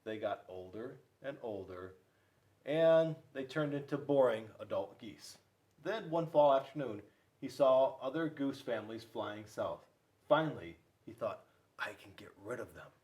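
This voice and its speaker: A man reading a children's storybook aloud, with dramatic pauses and emphasis. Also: mid-range voice, lively intonation, darkish tone, far from the mic. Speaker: school resource police officer reading to children.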